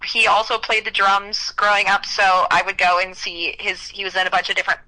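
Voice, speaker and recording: A woman's voice: medium pitch, flat delivery, fairly quick, sharp tone, poor audio. A young woman from Ohio, a country singer, chatting upbeat in a radio interview with lots of "you know". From Cleveland, Ohio. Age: young adult.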